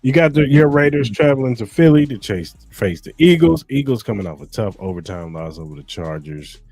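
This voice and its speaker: A man's voice: medium pitch, very animated, a little mellow, dry recording. A man co-hosting a podcast, laying out game picks in short, punchy lines. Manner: brisk, punchy game-by-game predictions. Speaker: podcast co-host. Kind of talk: casual sports talk.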